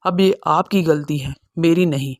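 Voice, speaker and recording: A man's voice: on the high side, measured pace, no echo, studio quality. A man playing a selfish, impatient young son who is dismissive, in a dramatized cartoon moral story. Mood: impatient, demanding.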